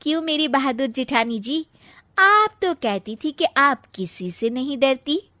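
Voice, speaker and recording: Woman voicing a high, whiny young sister-in-law. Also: animated, a little slow, sharp tone, dry recording, heavily acted. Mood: gleeful teasing. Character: timid young sister-in-law, aggrieved and playfully scheming. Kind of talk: Hindi comic family story acting.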